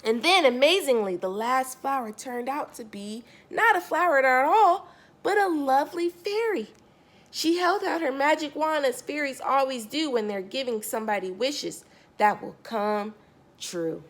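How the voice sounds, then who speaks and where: Woman, children's storyteller, fairly high pitch, very lively intonation, expressive read-aloud, emphasised key words, direct address to young listeners. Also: unhurried. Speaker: storyteller reading a picture book to children.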